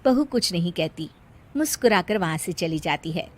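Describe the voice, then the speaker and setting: A woman's voice: fairly low pitch, expressive, normal speed. A woman narrating a cartoon moral story in a plain, scripted storytelling manner.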